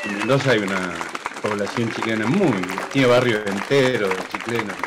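A middle-aged man calls in to a talk show from his home over a webcam. He talks casually and rambles, warming up and getting animated as he tells a story, circling back on his own words. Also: fairly low pitch, moderate pace, a crowd in the background, background noise. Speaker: guest, media director. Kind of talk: casual chat. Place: home room in Mendoza. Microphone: video call webcam.

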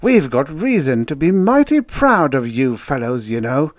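Man acting a solemn official, pitched up, lively. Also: measured pace, no echo, clean studio sound. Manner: grave, formal, placating. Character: solemn, judicious male space official.

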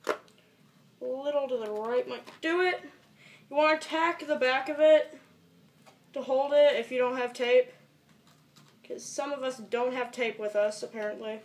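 High-pitched rambling young teen vlogger. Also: fairly even intonation, a little slow, long pauses. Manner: rambling, muttering to self, swearing. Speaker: amateur video maker. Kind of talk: casual improvised tutorial vlog. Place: small bedroom, indoors. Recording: echoey, noisy amateur home recording.

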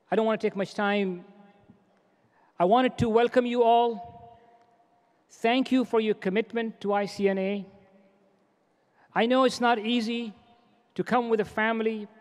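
A middle-aged man gives a formal welcome speech from a podium, earnest and exhorting, often repeating his phrases. His voice sits high for a man. Podium microphones catch him, and a long reverb trails after each phrase in the large hall. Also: a little mellow. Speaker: organization president giving a welcome address. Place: large convention hall stage.

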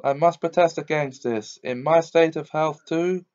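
A man voicing a fussy old gentleman who wails and protests in a high, trembling voice, on a dull lo-fi recording. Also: a little slow, lightly acted. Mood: panicked. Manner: trembling, wailing.

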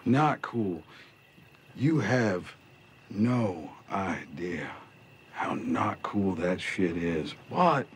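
An actor with an American accent playing a smug, cruel middle-aged gang leader in a TV drama, drawling and mocking with deliberate pauses. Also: male voice, sits low, very animated, exaggerated voice. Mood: coldly mocking. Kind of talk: television drama villain monologue. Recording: TV drama clip with noticeable background noise.

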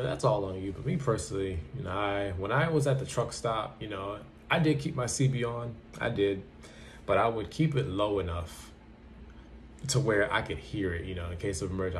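Low-voiced young man, casual and blunt. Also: darkish tone, slight background noise. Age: young adult. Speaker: trucker giving advice in a vlog. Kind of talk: casual advice talk to camera. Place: small plain indoor room.

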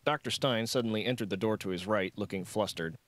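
Male narrator, thriller audiobook, scripted storytelling, lower-pitched voice, dry close recording. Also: quick pace, a bit bright, dry recording.

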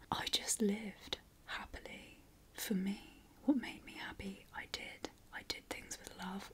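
A British woman speaking in a breathy whisper, giving encouraging, affectionate ASMR affirmations in an informal way. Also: mid-range voice. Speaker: ASMR host.

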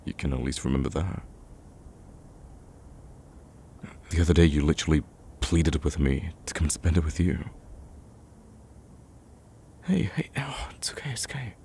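A man playing a betrayed, protective young male friend in an emotional audio roleplay, speaking haltingly with sighs and stammers. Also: rumbling low, expressive, creaky, mellow, a little hiss or hum, exaggerated voice. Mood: confused, gentle, then soothing. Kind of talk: emotional ASMR roleplay acting.

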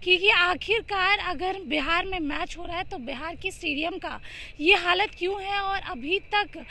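A young woman reporting news from outdoors at a stadium, holding a handheld mic, talking quickly and earnestly with frequent filler phrases. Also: very high voice, sharp tone, a little hiss or hum. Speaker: field reporter. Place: outdoors at a large open stadium. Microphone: handheld mic in front of her.

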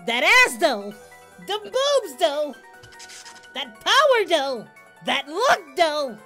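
Excitable cartoon hero played by a woman, crude reaction host, high and very lively. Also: slow pace, faint music behind, full character voice. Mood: gleeful, brash. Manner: brash, crude, exclamatory. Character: excitable cartoon martial-arts hero, abridged parody man.

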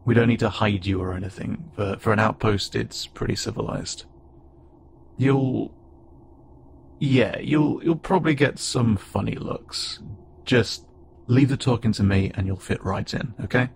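A man voicing a friendly, curious, slightly awkward alien spacefarer in a conversational audio roleplay, speaking haltingly and wryly. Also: lowish voice, creaky, heavy background noise. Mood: easygoing, reassuring. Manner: casual, halting, gently wry. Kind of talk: conversational audio roleplay acting to an unheard listener.

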